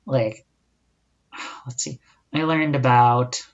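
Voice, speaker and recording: A man's voice: mid-range voice, fairly even intonation, very slow. A young man talks through a solo podcast in an easy, chatty way. He often tosses in rhetorical asides and corrects himself mid-sentence. The recording sounds a bit dull and lo-fi. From United States. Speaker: podcast host.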